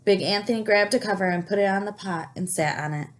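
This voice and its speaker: Lady teacher doing storytime for kids, animated reading, asks the little ones questions as she goes. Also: mid-range voice. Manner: animated, pauses to question young listeners and explain words. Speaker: teacher reading a picture book aloud to children. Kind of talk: expressive read-aloud storytelling.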